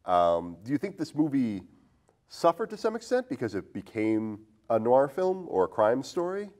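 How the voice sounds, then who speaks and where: A man's voice: mid-range voice, big pitch swings, normal speed, darkish tone. A man hosting a podcast in a conversational, analytical way, posing questions as he discusses film. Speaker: podcast host.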